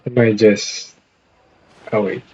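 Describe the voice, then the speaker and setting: A man streaming a game, casually reading dialogue aloud in a hesitant, halting way through a band-limited microphone. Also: lowish voice, little pitch movement, a little mellow, husky, muffled recording. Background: game music.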